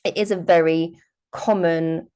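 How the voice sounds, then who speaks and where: A woman's voice: on the low side, fairly even intonation, unhurried, warm tone, clear, resonant tone. A woman hosting a podcast, chatting enthusiastically with her guest. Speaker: podcast host. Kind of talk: conversational interview.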